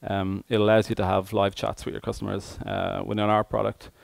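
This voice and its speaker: Male tech conference speaker, very monotone, creaky voice, halting phrasing, dry close sound. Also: lowish voice, no room sound. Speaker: conference speaker, software engineer.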